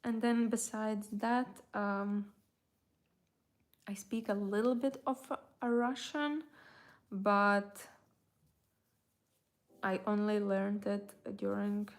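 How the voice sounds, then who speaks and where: Rambling woman. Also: mid-range voice. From Latvia. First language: Latvian. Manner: rambling, hesitant, trailing off mid-thought. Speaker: crochet tutorial creator, livestream host. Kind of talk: casual livestream chat.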